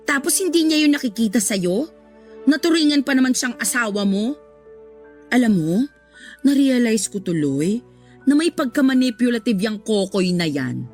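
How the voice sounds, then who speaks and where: Actress playing a sassy, high-voiced supportive woman friend. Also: natural voice. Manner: colloquial, expressive exclamations. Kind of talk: Tagalog radio drama acting.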